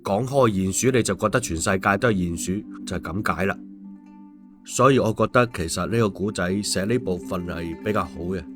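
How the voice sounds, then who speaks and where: Male audiobook host, Hong Kong Cantonese, lowish voice, colloquial and opinionated, music behind. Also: a little fast. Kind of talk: story narration and casual commentary.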